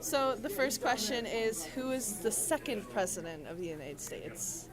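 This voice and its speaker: A woman's voice: fairly high pitch, expressive, a little slow. A young woman interviewing people in a casual quiz, asking questions in an informal, giggly way. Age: young adult. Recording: crowd chatter behind, on location.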